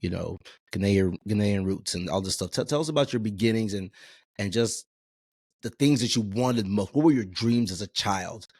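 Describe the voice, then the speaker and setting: A man in his forties, a physician hosting a casual interview, who talks in a relaxed, chatty way and often repeats his words. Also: on the low side, moderate pace, husky, no echo, clean studio sound. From Houston area, Texas. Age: 40s. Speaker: podcast host, physician.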